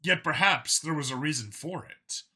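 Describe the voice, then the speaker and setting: A man's voice: fairly high pitch, normal speed, bright, forceful tone, breathy edge. A man reading scripted story narration as an audiobook narrator.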